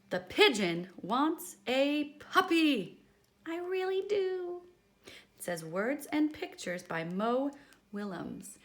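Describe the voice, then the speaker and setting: High-pitched, animated woman hosting story time. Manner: teacherly, prompting with questions. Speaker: children's story time host. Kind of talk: read-aloud addressed to young children.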